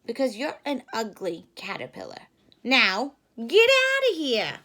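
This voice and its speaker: A woman voicing a mean, haughty monkey in a children's storybook, loud and scornful with drawn-out words. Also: a little high, wildly swooping pitch, heavily acted. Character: mean, haughty monkeys shooing someone away. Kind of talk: children's storybook read-aloud.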